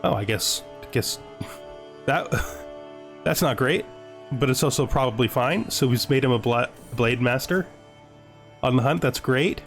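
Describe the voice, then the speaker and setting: A man's voice: medium pitch, measured pace, pauses often, faint music behind. A man giving casual let's-play commentary on a strategy game, talking through his decisions to himself and often checking them with a questioning tag. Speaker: gaming video host playing a strategy game.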